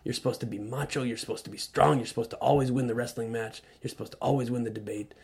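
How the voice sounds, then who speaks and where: A man's voice: mid-range voice, steady tone. A man speaking as a guest on a radio show, earnest and reflective, often restarting his sentences. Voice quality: loud voice. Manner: earnest, halting with many restarts. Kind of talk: reflective conversational talk. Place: radio studio.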